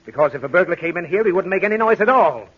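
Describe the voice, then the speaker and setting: A man's voice: sits high, animated, rapid-fire, slightly rough. An actor playing an exasperated, sleep-deprived father in 1940s radio comedy, pleading, scolding and blustering as he gets flustered. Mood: weary, scolding. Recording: muffled, hissy old radio recording.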